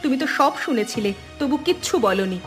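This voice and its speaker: A woman's voice: high voice, lively intonation, fairly quick, faint music behind. A woman voicing a hurt, self-respecting young daughter-in-law in a family cartoon drama, earnest and assertive. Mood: hurt, reproachful.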